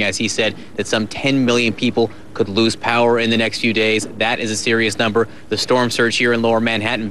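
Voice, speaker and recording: A man's voice: mid-range voice, pushed and bright, slight background noise. A man anchoring a live news broadcast.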